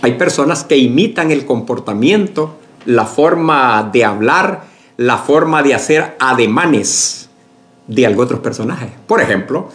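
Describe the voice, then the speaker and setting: Slow-preaching pastor, lively pitch. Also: male voice. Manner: slow, unhurried preaching with many fillers and restarts; addresses the congregation as brothers, asks for agreement. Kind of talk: sermon.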